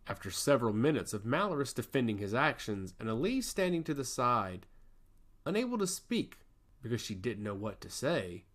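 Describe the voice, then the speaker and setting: Animated male fantasy storyteller. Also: mid-range voice, normal speed. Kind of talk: scripted fantasy story narration.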